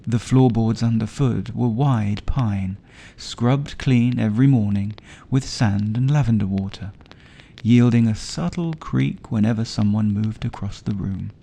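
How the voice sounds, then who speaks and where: A man narrating a sleep story for adults as a storyteller, with a soothing, gentle, unhurried delivery. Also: on the low side, gravelly. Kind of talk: slow bedtime story narration.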